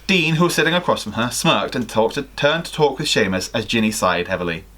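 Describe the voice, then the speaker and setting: A man's voice: medium pitch, expressive, a little fast, a bit bright. A young man reading a story aloud expressively, with dramatic emphasis and the odd self-correction. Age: young adult. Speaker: guest reader on a livestream. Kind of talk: expressive fan fiction story reading with casual asides. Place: small home room with sloped ceiling.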